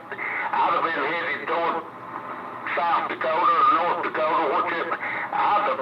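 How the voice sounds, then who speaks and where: A man with a Southern US accent rambling in a folksy, colloquial way in casual chat over CB radio. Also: fairly high pitch. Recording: dull CB radio transmission, loud static noise.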